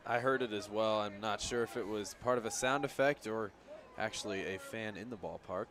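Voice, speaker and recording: A man's voice: lowish voice, moderate pace, fairly bright tone. A man giving conversational, analytical color commentary during a live baseball radio broadcast, explaining the plays as they happen. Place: outdoor ballpark broadcast position.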